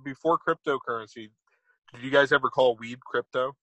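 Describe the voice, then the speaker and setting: A man's voice: mid-range voice, steady tone, moderate pace, sharp tone, dry recording, poor audio. A man in his thirties bantering and rambling jokingly on a remote call. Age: 30s. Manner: joking, rambling, playfully boastful. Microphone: remote call, signal cutting out.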